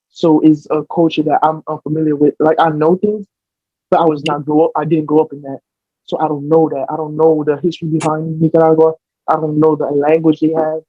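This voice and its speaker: Young american guy talking it out, slightly high voice, kinda muffled dry audio. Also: no echo. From United States. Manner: explains at length with many restarts and fillers. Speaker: podcast guest. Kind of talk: casual chat.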